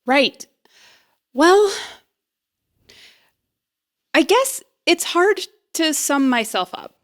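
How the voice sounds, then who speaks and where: A young woman bluffing eagerly through an audio drama scene. Her voice sits high and swings about with lots of expression. She is flustered and hesitant, tending to ramble nervously. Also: very animated, very slow, heavily acted. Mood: boastful, bluffing. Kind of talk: naturalistic audio drama acting.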